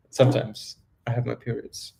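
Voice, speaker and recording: A man's voice: mid-range voice, vocal fry, warm tone, slight background noise. Dramatic Danish man in his thirties. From Denmark. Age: 30s. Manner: self-mocking, dramatic, stumbles over words. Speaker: vlogger co-host of a livestream. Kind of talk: casual livestream chat.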